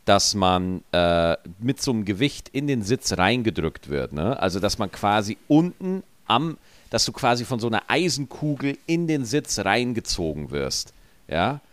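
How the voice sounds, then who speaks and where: A man with a regional German dialect and a rolled R, telling stories in a relaxed, joking podcast chat. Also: lowish voice, normal speed, dry recording. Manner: lively storyteller, joking. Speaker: podcast co-host. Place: studio, feet up on desk.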